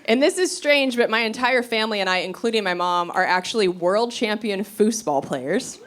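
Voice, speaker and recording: A woman performing stand-up comedy, telling stories in an animated, conversational way and acting out quotes. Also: medium pitch, normal speed. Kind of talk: stand-up comedy storytelling to a live audience. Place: live comedy venue on stage. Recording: live crowd laughter, noisy stage recording. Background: audience laughter.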